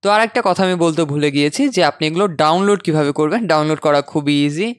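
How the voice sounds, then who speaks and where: A man hosting a casual software tutorial, conversational and dropping in English terms. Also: a little high, racing, clean tone, dry recording, clean studio sound. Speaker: tutorial host. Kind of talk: casual software tutorial screen-recording narration.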